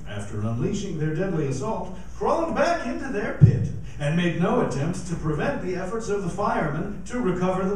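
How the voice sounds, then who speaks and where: A man acting as a formal radio news announcer under pressure in a live radio drama. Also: high-pitched. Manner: formal, theatrical, stammering when flustered. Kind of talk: old-time radio news announcing, acted. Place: live stage performance in a performing arts center. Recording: distant mic, echoey theater, faint noise.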